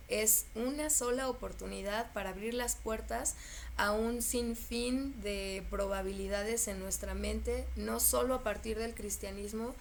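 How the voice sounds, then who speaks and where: Gravelly-voiced woman host musing aloud. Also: medium pitch, slight background noise. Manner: searching, repeats words as she gathers thoughts. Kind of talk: reflective conversation.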